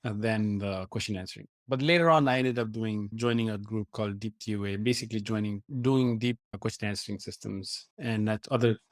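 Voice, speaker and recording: A man's voice: fairly low pitch, brisk, few pauses, dry recording, studio quality. A man in his forties from Nepal, a company founder, giving earnest, explanatory interview answers with some fillers and restarts.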